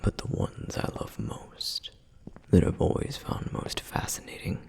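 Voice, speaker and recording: A man voicing a protective, reverent flame demon in an ASMR roleplay, speaking in a breathy, husky whisper with measured, gentle explanations and frequent pauses. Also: lightly acted. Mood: reverent, fascinated. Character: male flame demon, protective, reverent, softly flirtatious lore guide. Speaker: audio roleplay performer. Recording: long reverberant hall echo.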